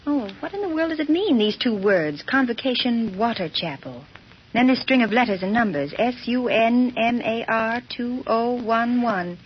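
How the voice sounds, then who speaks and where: A woman's voice: a little high, lively intonation, moderate pace, close to an everyday voice. An actress playing a detective's anxious, inquisitive female companion in 1940s radio drama style. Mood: curious. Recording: muffled, noisy old radio recording.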